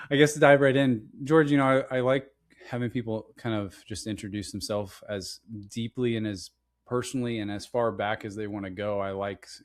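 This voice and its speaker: A man hosting an interview podcast over a video call, talking in a friendly, rambling way with lots of restarts and some teasing. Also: on the low side. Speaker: podcast host. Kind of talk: casual interview chat.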